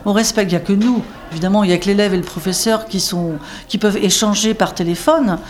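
A woman's voice: mid-range voice, fast. Rambling woman with emphatic tags. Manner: rambling, many fillers and restarts, emphatic "hein". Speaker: literacy volunteer coordinator. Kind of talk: interview answers.

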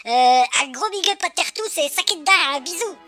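A woman's voice: high voice, expressive, fairly quick, a bit bright, soft background music. A woman voicing a cheeky, slangy young male radio fan who rambles with lots of hesitations in a comic phone-in sketch. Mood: chatty.

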